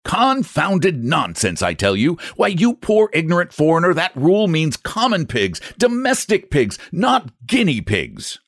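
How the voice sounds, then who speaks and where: A man voicing an enraged, sarcastic customer who shouts and sputters over a shipping fee. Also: low voice, huge pitch swings, normal speed, hardly any pauses, dry recording, clean studio sound, pitched below the performer's own voice. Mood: furious. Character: furious, sarcastic man shipping guinea pigs.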